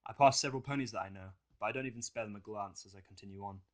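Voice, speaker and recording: A man's voice: lowish voice, racing, fairly bright tone. A man reading scripted first-person fiction as the narrator of an audio story.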